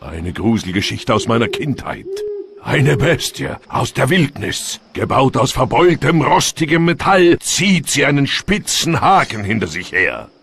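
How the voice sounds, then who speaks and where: A bold challenger car from a cartoon. The voice is gravelly and mid-pitched, with a lively, dramatic swing and slow, drawn-out storytelling flair. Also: male voice, a little hiss or hum, full character voice. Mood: spooky, ominous. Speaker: voice actor in German dub. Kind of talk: animated cartoon dialogue.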